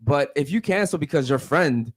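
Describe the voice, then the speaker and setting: A man's voice: a little high, lively intonation, moderate pace, no room sound. A man hosting a casual podcast, talking loosely and profanely with hyped energy. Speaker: podcast host.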